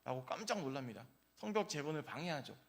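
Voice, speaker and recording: Male pastor, fairly high voice, chatty storytelling sermon, fills pauses with "uh". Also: sing-song. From South Korea, grew up in Gyeongsang region. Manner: conversational storytelling with frequent "uh" fillers. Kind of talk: early-morning sermon with personal anecdotes. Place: church.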